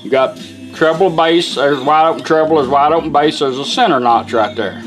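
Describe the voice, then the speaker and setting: A middle-aged man talks through a hands-on demonstration in a plainspoken, folksy way. His voice sits a little high for a man. Music plays clearly behind him. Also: moderate pace. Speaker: vintage radio restorer demonstrating a product. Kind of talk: informal hands-on product demonstration. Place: indoor workshop bench. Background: music from the radio.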